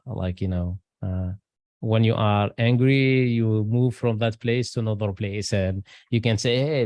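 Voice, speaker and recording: A middle-aged man who grew up between Algeria and France talks as an interview guest. His voice is low, dark and mellow, recorded dry and close. He speaks English in halting bursts, full of fillers and restarts. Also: no echo. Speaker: guest, aerospace engineer. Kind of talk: casual interview talk.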